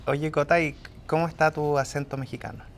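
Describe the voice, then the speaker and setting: A woman's voice: deep voice, level tone, a little fast, slight background noise. A woman with a Chilean accent, hosting an informal interview and chatting casually with her guest. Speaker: interview host.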